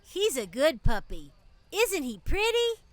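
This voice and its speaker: A woman's voice: high-pitched, huge pitch swings, takes it slowly, dry recording, full character voice. A woman voicing a small, trusting little girl in an audio drama, with a simple, tender, childlike manner. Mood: bright, eager.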